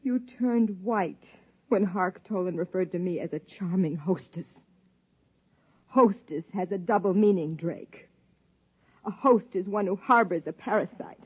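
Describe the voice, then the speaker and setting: An actress playing a young wife and biologist who uncovers a dark secret, acting in a 1950s radio drama, urgent in argument and numb when narrating. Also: female voice, fairly low pitch, a little slow, breathy edge, no room sound. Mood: accusing. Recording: dull lo-fi 1950s radio recording.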